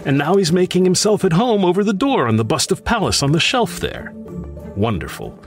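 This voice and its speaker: An actor playing a grief-stricken, bookish widower who mutters anxiously to himself in a theatrical old-time radio horror monologue. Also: male voice, high-pitched, wildly swooping pitch, fairly quick, heavily acted. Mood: wry, agitated. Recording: music under the voice. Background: prominent music.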